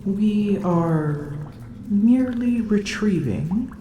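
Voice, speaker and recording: A woman voicing a smug, slow-spoken dragon. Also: medium pitch, expressive, dark tone, reverberant, a little hiss or hum. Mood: teasing. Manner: haughty, teasing, slow and deliberate. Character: proud, smug female dragon in human form. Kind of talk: ASMR roleplay.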